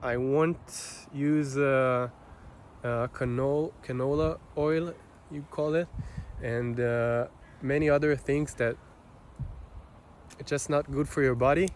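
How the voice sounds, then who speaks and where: Male vlogger, Israeli accent, Hebrew native; unhurried, halting, reflective delivery with long gaps, speaking off the cuff over noticeable background noise. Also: medium pitch, fairly even intonation. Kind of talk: unscripted personal monologue.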